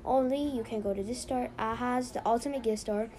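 A woman's voice: on the high side, brisk, dark tone. A young woman hosting a casual podcast, chatty and rambling with lots of "so yeah". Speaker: podcast host.

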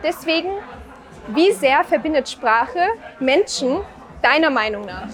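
A young woman with a high voice asks her prepared questions in measured, deliberate phrasing. Faint music sits under her voice. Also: expressive, a bit bright. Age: young adult. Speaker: interviewer.

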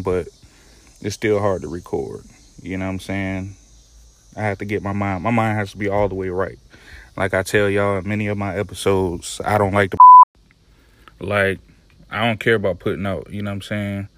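A man hosting his own podcast in a casual, laid-back way, speaking with a soothing voice, swearing freely and often saying "you know what I'm saying". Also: fairly low pitch. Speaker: podcast host. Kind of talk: casual solo podcast commentary.

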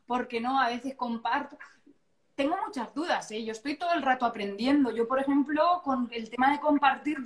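A woman with an accent from Spain chatting casually as a guest, playful and digressive, often interrupting herself. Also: high-pitched. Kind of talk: casual chat. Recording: echoey room sound.

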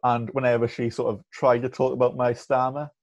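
A man's voice: mid-range voice, flat delivery, a little fast. Man speaking with a stammer. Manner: stammers with blocks and repeated sounds. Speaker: podcast guest. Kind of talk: candid conversational interview. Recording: muffled lo-fi audio.

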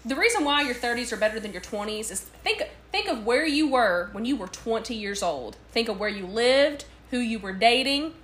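Bright, lively woman co-host. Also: on the high side, moderate pace, few pauses. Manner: lively, encouraging, playful. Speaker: podcast co-host. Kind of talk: casual chat.

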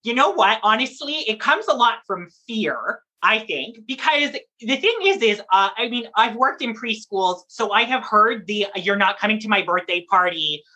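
A drag performer talks as an interview guest in a bright, forceful voice at a medium pitch. The delivery is conversational and emphatic, explaining and storytelling with animation. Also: expressive, moderate pace, hardly any pauses, husky, low-quality recording.

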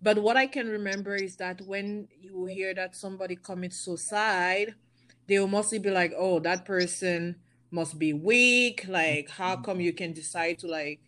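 A woman's voice: medium pitch, unhurried, a bit bright. A woman from Africa, a guest in a casual chat, speaking candidly and plainly about personal experience.

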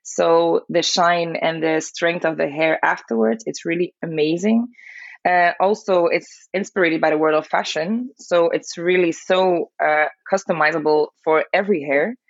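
A woman from Belgium speaks as an in a podcast interview. Her voice is fairly low and gravelly with creak, heard over a dull, lo-fi connection. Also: measured pace, no room sound. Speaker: guest salon owner and educator.

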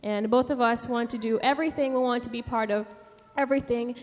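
A young woman from San Juan tells a personal story to a gathering, haltingly, pausing mid-sentence. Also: fairly high pitch, fairly even intonation. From San Juan, Puerto Rico. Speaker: speaker giving a personal testimony. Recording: long hall reverb.

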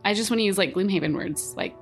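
A woman's voice: medium pitch, fast, soft background music. A woman joining a tabletop game podcast as a new guest player, giggly and a bit hesitant. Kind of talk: casual chat.

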